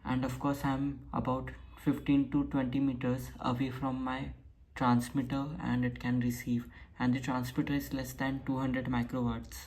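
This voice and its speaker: A woman's voice: very deep voice, normal speed. A woman giving an informal technical demonstration, speaking in short, plain statements that she often repeats. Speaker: hobbyist radio builder presenting. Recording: noisy home recording with steady hiss.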